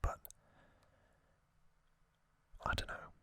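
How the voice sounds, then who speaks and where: A man whispers an informal ASMR commentary. His delivery is hushed and very slow, halting between short phrases. The whisper is extremely bright and crisp-edged, with little melodic movement. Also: no echo. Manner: hushed, unhurried, halting with pauses. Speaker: host of an ASMR channel.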